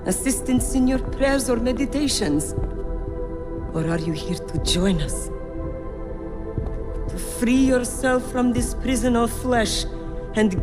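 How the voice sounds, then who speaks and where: An actress playing a fanatical cult high priestess in a video game, theatrical, pompous and reverent. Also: female voice, natural voice. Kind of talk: cinematic video game acting. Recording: dramatic music behind the voice.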